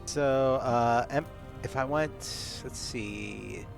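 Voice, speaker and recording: A man's voice: mid-range voice, takes it slowly, gravelly. A man chatting casually as a player in a tabletop roleplaying game. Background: music.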